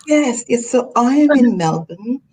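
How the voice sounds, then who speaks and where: A woman's voice: fairly high pitch, animated, a little slow, darkish tone, husky. A woman author on a panel, speaking earnestly and reflectively over a video call. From Tanzania, raised in Kenya.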